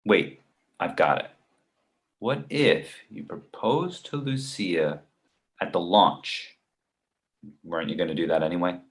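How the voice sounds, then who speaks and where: Male actor as meddling buddy, low-pitched, bad-advice giver, conversational comedic table read. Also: slow, long pauses. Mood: incredulous, scheming.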